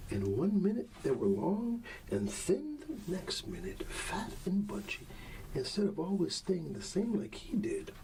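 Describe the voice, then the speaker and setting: A man softly reading a bedtime story in an ASMR style, soothing and often dropping into a whisper. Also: sits high, big pitch swings, measured pace, vocal fry, far from the mic, a little hiss or hum, low-quality recording. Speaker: ASMR storyteller playing a dad.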